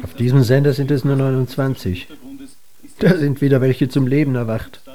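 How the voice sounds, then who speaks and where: An actor in a German radio drama playing a cynical, unimpressed husband with a deadpan delivery. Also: male voice, medium pitch, normal speed, faint music behind, lightly acted. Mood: sceptical.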